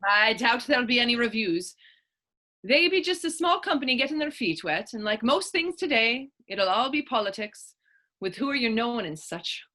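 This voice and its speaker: Bright-voiced plucky Irish orphan girl, acted by a woman. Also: on the high side, lively intonation, normal speed. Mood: wry, guarded. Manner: storytelling in lilting old-fashioned Irish idiom. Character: plucky orphaned Irish teenage actress, tough and proud. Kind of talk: stage readers theatre acting in dialect.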